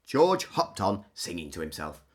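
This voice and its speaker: A woman reading a children's storybook aloud as an expressive narrator. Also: a bass voice, normal speed, breathy edge. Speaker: storybook reader.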